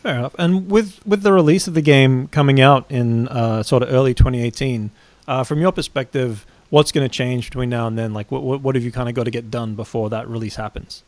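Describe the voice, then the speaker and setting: A man's voice: medium pitch, brisk, no echo. Male stream host, dry close sound. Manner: relaxed, loose questions. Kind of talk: casual live-stream interview.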